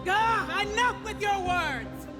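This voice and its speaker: A woman's voice: very high-pitched, expressive, slow, music in the background, exaggerated voice. A woman playing a proud, furious warrior queen defending her child, impassioned and commanding, in a dramatic video game. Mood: scornful, bitter. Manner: impassioned, commanding, theatrical oratory.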